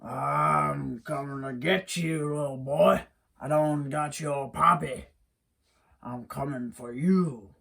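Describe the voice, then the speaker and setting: A man voicing a menacing hairy swamp monster with a slow, threatening drawl. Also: a little high, steady tone, gravelly, pushed and bright, full character voice.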